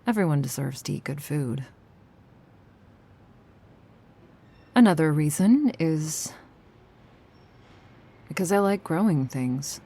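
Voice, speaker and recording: Woman acting a low, slow, creaky vampire woman. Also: lively intonation, mellow, exaggerated voice. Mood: calm, matter-of-fact. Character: ageless vampire woman gardener, sardonic yet tender, protective. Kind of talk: slow intimate ASMR roleplay acting.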